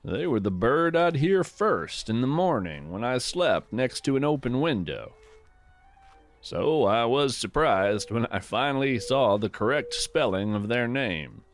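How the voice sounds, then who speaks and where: A man playing a gentle, folksy naturalist who hosts a scripted fiction podcast, musing poetically and with wonder about the woods. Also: mid-range voice. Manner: reflective, poetic, unhurried musing. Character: gentle, wonder-struck folksy naturalist narrating a woodland walk. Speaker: podcast host.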